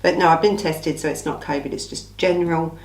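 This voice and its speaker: A woman from England hosting a casual true crime Q&A, her voice croaky from a cold, talking in a blunt, chatty, opinionated way. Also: low-pitched, steady tone, brisk, roomy sound, far from the mic, rough recording. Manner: chatty, blunt, opinionated, says "listen" often. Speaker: true crime channel host. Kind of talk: casual Q&A monologue.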